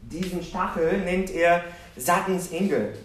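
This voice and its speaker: Animated preacher in an echoey hall. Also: male voice, high voice, a little slow. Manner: animated, self-deprecating, asks the congregation questions. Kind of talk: sermon with personal storytelling. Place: church hall with congregation. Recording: roomy, distant church recording.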